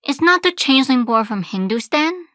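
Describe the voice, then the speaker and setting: A woman's voice: high voice, expressive, normal speed, fairly bright tone, no echo, clean studio sound. A woman playing a mischievous, eager female sprite with impish, teasing theatrical delivery. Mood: impish, curious. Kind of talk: theatrical stage acting.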